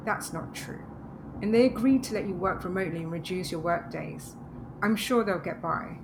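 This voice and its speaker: A woman's voice: on the low side, moderate pace, lightly acted. A woman playing a practical, dryly teasing wife in a naturalistic audio drama. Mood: reassuring. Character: practical, teasing, reassuring wife moving to the countryside. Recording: clearly noisy background ambience.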